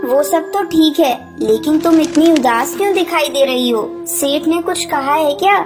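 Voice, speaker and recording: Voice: high voice, moderate pace, close to an everyday voice. A performer voicing a sweet, earnest young girl bird, a daughter in a children's cartoon. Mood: concerned. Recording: music under the voice.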